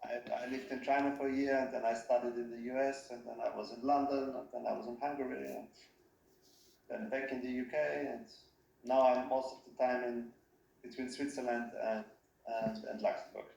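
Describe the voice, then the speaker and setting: A man from Austria, a company head being interviewed, speaking in a halting way and often restarting his phrases. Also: medium pitch, little pitch movement, vocal fry, a little mellow. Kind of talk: casual interview talk. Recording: roomy echo.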